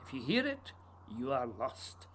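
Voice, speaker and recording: An actor playing an intense, whimsical playwright in a radio play, theatrical and emphatic, with a husky voice. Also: male voice, mid-range voice, huge pitch swings, measured pace, a bit bright, a little hiss or hum. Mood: dreamy, haunted. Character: intense, whimsical Scottish playwright, insistent and dreamy. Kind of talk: theatrical radio drama acting.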